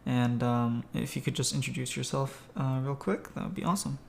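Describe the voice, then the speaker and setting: A man hosting a podcast, speaking casually and a little haltingly with an agreeable manner. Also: medium pitch, gravelly, slight background noise. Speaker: podcast host. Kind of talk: casual conversational interview.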